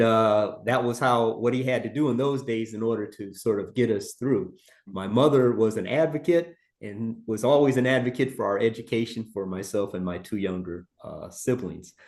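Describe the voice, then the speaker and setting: Male guest doctor from Cleveland, measured and hesitant, roomy echo. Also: on the low side. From Cleveland, Ohio. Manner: measured, hesitant with frequent pauses. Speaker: infectious disease specialist, guest. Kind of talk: personal story on a panel. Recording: echoey room.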